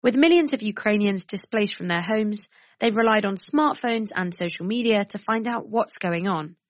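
Measured woman presenter narrating. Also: medium pitch, no echo, highs cut off. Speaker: reporter and presenter. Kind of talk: scripted narration.

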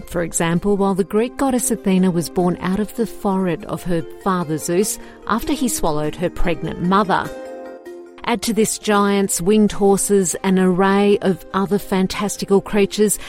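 A woman narrating a scripted news report in a measured, even tone. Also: mid-range voice.

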